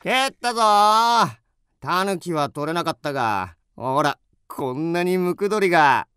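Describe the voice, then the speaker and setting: A man's voice: on the high side, animated, measured pace, sharp tone, clear, resonant tone, dry recording, clean studio sound. A man playing a mild, easily puzzled village hunter in a radio drama, naive and wondering. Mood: bewildered.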